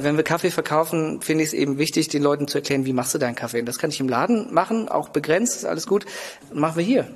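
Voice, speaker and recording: A man's voice: a little high, big-room sound. A man from Germany, a coffee roaster, talking as an interview guest with many hesitant fillers and enthusiasm. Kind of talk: casual interview. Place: large building site hall.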